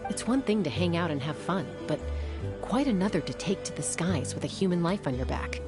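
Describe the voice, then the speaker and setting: A woman playing a composed, assured young warrior in a video game, speaking in a measured, matter-of-fact way. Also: fairly low pitch, lively intonation, music in the background, close to an everyday voice. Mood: calm, explanatory.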